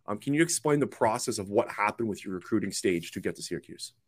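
A man's voice: on the low side, expressive, fast talker. A man asking casual, somewhat hesitant interview questions as a podcast host.

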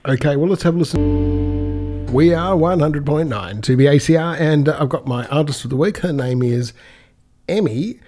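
A man's voice: on the high side, normal speed, over music. A man hosting a weekly radio show and interviewing a guest in a friendly, easygoing way. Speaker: radio host.

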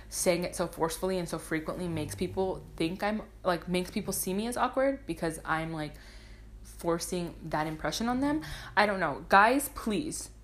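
Rambling self-deprecating young woman podcaster. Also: on the low side. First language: Spanish. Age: 20s. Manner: rambling, self-deprecating, swears freely, goes on tangents. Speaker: podcast host. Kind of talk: casual solo podcast monologue.

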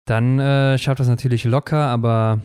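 Smooth-voiced chatty sports podcast host. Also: male voice, medium pitch, steady tone, moderate pace, a little mellow, no room sound, clean studio sound. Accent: German, western border region. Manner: chatty, often ends with a tag question. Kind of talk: casual sports chat.

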